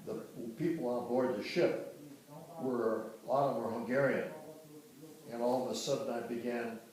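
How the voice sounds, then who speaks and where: An older man from Michigan recalling his family history in a casual, halting way, often restarting and correcting himself. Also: medium pitch, a little mellow. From Dearborn, Michigan. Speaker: interviewee recounting family history. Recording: roomy, distant home recording.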